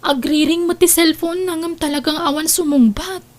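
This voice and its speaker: A high, girlish voice suits this young woman played by an actress. She is an affectionate, dutiful daughter in a family audio drama, speaking with open feeling. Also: fairly even intonation, normal speed. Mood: mildly concerned. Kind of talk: audio radio-style drama acting.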